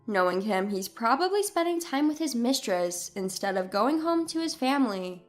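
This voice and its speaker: A woman's voice: a little high, sing-song, moderate pace, soft background music. A woman reading a scripted first-person dramatic story expressively and with care, as its narrator.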